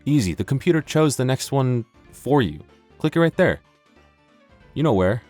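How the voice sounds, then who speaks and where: A man's voice: medium pitch, very animated, normal speed, darkish tone, faint music behind. A man chatting casually and comically to the listener as an audio creator, rambling playfully with mock bragging and self-interruptions. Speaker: audio roleplay creator and performer. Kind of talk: casual comedic outro chat addressed to the listener.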